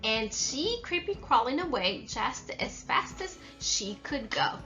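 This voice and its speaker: A woman's voice: high-pitched, sing-song, slow pace, highs cut off, music in the background. A woman teacher reading aloud and instructing young children, addressing them directly and stressing key words. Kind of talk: slow instructional read-aloud with call-and-response prompts.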